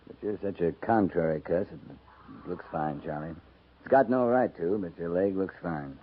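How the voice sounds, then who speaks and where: A man acting out a gruff cavalry captain in an old radio play, his voice on the low side and his delivery a dry, quiet drawl. The sound is narrow and tinny, like a vintage broadcast over a phone-like line. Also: moderate pace, slight background noise, lightly acted. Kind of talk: 1950s radio drama acting.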